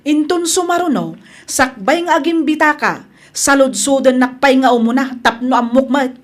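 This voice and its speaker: A woman playing a haughty, sharp-tongued wife hostile to her in-law in an Ilocano-accented radio drama. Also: sits high, moderate pace. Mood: defiant.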